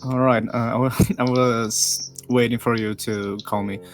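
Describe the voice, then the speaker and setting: A nineteen-year-old man from Brazil, a Portuguese speaker talking English in a halting way with many restarts. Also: mid-range voice, steady tone, soft background music. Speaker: guest. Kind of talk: casual online group chat.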